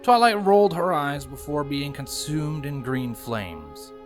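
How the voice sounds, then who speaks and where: A man's voice: on the high side, animated, unhurried. A man reading scripted story narration as a storyteller. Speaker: narrator reading fan fiction. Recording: music playing behind the voice.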